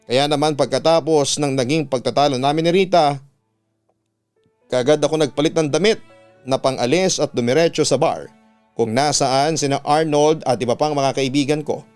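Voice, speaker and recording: Smooth, higher-pitched Filipino man narrating a story. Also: moderate pace, darkish tone. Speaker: radio announcer and story narrator. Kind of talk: dramatic narration of a listener's letter in first person. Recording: music bed behind the voice. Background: background music.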